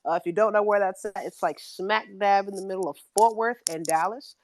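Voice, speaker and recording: A woman's voice: mid-range voice, brisk, darkish tone, a little hiss or hum. A woman from Texas introducing herself casually as a panel guest, informal and chatty with a slangy way of talking. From United States, Texas. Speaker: athlete development director, panel guest.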